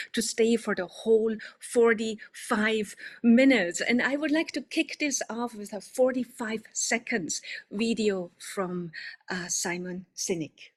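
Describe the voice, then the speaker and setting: A woman from Austria, hosting an online coaching event in an upbeat, welcoming way with some fillers and restarts, over a video call microphone. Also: medium pitch. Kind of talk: welcoming presentation to an online audience.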